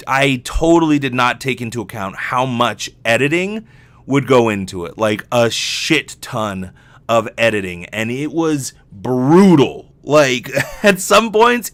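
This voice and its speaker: A man's voice: medium pitch, lively intonation, a little slow, a bit bright, no echo. A man hosting a solo podcast in a casual, rambling, self-deprecating and joking way, swearing freely. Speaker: podcast host, voice actor.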